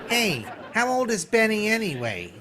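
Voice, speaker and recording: A man's voice: sits high, wildly swooping pitch, moderate pace, bright, forceful tone, some chatter behind, a little hiss or hum, heavily acted. A man playing a gullible, out-of-work pool buddy in a 1950s-style radio comedy, the wide-eyed straight man. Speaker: radio play actor.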